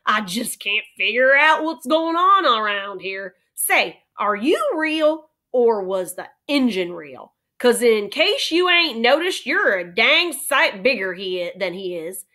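A woman's voice: a little high, very animated, slow, few pauses, sharp tone, heavily acted. A woman voicing a tiny, weepy, bewildered cowboy man in a children's storybook, with a folksy American cowboy drawl and plenty of slang.

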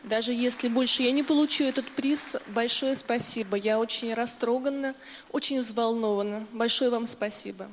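A woman's voice: a little high, moderate pace. A woman giving a matter-of-fact consecutive interpretation in a large hall. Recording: hall reverb, faint noise.

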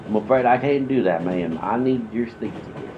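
A man's voice: mid-range voice, normal speed, background noise, lightly acted. A polite, unflappable conductor played by a man in a radio comedy sketch. He speaks in a medium register with dry courtesy. The sound is slightly muffled. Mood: deadpan, polite. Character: polite, unflappable male train conductor, deadpan. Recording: muffled, dull podcast recording.

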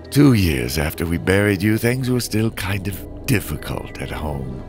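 A man playing a bitter, haunted demolition derby driver who narrates his story in a slow, brooding, theatrical way for a motion comic. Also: deep voice, very animated, exaggerated voice. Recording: music bed behind the voice.

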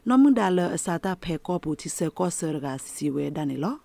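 A woman's voice: on the low side, sing-song, a little fast, gravelly, dark tone, no room sound. A woman radio news presenter reading a scripted bulletin.

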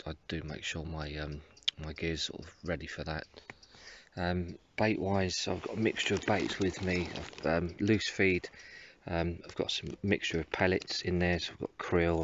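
A man in his forties, an angler vlogging from a riverbank into a phone camera close up, talking through his gear in a relaxed, halting way with many ums and restarts. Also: extremely low pitch, lo-fi sound. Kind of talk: casual walkthrough of fishing gear. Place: riverbank outdoors. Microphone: phone camera held close.

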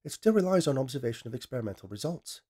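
Cool, flat female AI assistant, as a man voices her. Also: mid-range voice, racing, pitched above the performer's own voice. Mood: dispassionate. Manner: flat, precise, matter-of-fact delivery. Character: female AI lab assistant, calm and dispassionate.

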